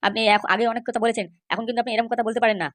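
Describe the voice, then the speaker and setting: A woman's voice: on the high side, fairly even intonation, rapid-fire, dry recording, natural voice. A woman voicing a worried, insistent young petitioner in a comedy cartoon, polite but nagging. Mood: anxious, insistent. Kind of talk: amateur comedy cartoon dialogue.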